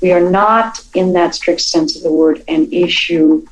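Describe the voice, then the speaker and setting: A woman giving thoughtful, measured interview answers as a television writer, speaking reflectively about her work. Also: on the low side, fairly even intonation, rough recording.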